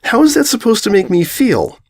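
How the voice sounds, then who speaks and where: A man's voice: sits high, very animated, fairly quick, voice pushed up, full character voice. A man voicing a jealous, easily flustered young female pony who stammers and turns sarcastic in a story. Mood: hurt, stammering.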